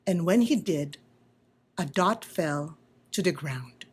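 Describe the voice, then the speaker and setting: A woman reading a children's story aloud in a deliberate, expressive way, like a school storytime. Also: low voice, slightly rough. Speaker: school principal reading aloud, religious sister. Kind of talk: scripted children's storybook narration.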